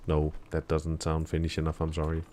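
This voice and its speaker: Low, flat-voiced rambling streamer man. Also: brisk, a little hiss or hum. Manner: rambling and hesitant, full of fillers and restarts; chatting with viewers. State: still sleepy in the morning. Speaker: live streamer. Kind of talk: casual live-stream chat while gaming.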